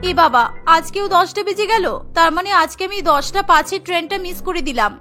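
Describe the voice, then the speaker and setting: Woman voicing a very high-pitched, weary cartoon daughter-in-law. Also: lively intonation, a little fast, sharp tone, faint music behind, heavily acted. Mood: weary, resentful. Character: overworked, put-upon young daughter-in-law, weary and resentful. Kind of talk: cartoon voice acting.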